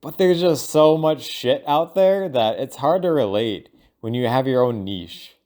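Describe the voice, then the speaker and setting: A man thinking aloud in a casual, rambling audio log, halting and informal, sometimes voicing imagined lines of dialogue. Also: medium pitch, lively intonation, mellow. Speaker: solo audio log host.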